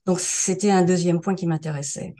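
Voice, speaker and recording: An older woman around seventy, originally from France, speaks in a somewhat low voice. She tells her story casually and haltingly, often pausing on fillers and starting over. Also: fairly even intonation, warm tone. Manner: hesitant, many fillers and restarts. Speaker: interview guest sharing a testimonial. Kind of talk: casual spoken testimonial.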